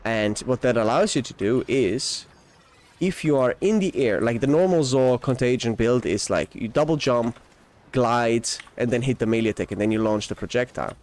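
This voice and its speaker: A man's voice: mid-range voice, moderate pace, a little mellow. Austrian-born man streaming a game. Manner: rambling, frequent restarts and self-corrections; enthusiastic, laughs often. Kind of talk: casual live-stream gameplay chat.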